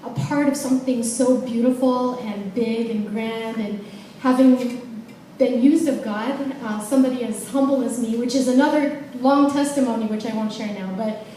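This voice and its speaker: A woman's voice: on the high side, fairly even intonation, normal speed, mellow, a little hiss or hum. A woman in her forties from the Philippines, a guest speaker sharing a personal testimony in a chatty, self-deprecating way. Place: large church hall. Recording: echoey hall, distant mic.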